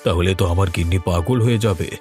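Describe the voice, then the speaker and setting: A man's voice: low voice, a little fast, darkish tone, faint music behind, close to an everyday voice. A man voicing a worried, grave middle-aged father in a horror cartoon. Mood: despairing.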